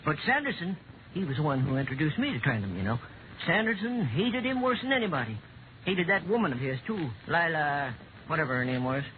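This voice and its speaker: An actor playing an excitable, boastful little down-on-his-luck man who rambles folksily in a 1950s radio drama. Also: a little high, fairly quick. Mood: confiding. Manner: rambling, folksy gossip with repeated "yeah, yeah". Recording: tinny, noisy vintage radio recording.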